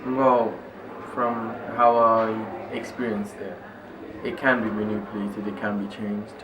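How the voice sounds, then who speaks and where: Young man interviewee, low monotone, halting delivery, roomy far-off mic, noisy background. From Italy. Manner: hesitant, many filler words. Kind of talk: personal reflection in interview. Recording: echoey, distant mic with loud ambient noise.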